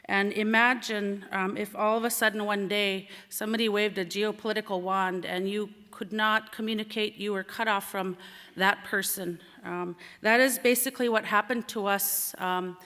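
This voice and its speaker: Woman from Alaska, native Siberian Yupik speaker, bright clear-cut tone, measured conference speech, pauses often, reverberant hall. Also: mid-range voice, fairly even intonation. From St. Lawrence Island, Alaska. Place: large indoor conference hall. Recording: echoey hall sound.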